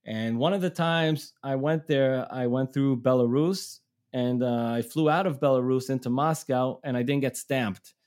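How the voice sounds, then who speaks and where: A man's voice: medium pitch, normal speed, darkish tone. A middle-aged man from Israel, a touring musician, telling stories in a casual, rambling way with many restarts and laughs. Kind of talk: casual storytelling.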